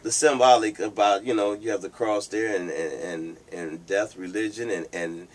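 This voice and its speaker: A man's voice: fairly low pitch, fairly even intonation, moderate pace. A man hosting an interview, talking in an encouraging, conversational way and often saying "you know". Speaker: host. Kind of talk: interview host chat.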